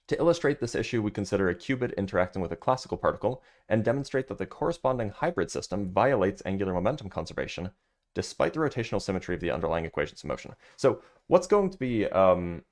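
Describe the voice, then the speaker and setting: A man with a deep voice hosting a livestream, reading a physics paper aloud and chatting loosely with viewers between passages, with plenty of fillers. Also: very animated, fast talker. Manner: loose and chatty, many fillers, answers chat.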